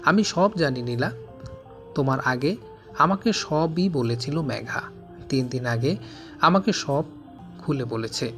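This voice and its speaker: A man voicing a calm, understanding young fiancé in a storybook romance reading. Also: mid-range voice, slow pace, long pauses, faint music behind. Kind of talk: storybook narration with dialogue.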